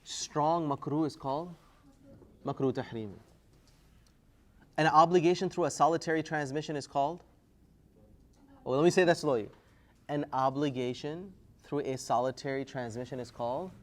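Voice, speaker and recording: A man's voice: on the high side, moderate pace, long pauses. A man teaching an Islamic studies class, lecturing casually and quizzing students, often pushing his voice up to prompt answers. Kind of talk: classroom lecture with call-and-response.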